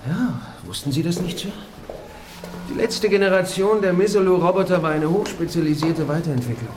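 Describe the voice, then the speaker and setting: A man's voice: high-pitched, fairly quick, noisy background, lightly acted. An actor playing a polite, lovesick male robot in a radio drama, earnest, deferential and pleading. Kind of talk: German radio drama acting. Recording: music playing behind the voice.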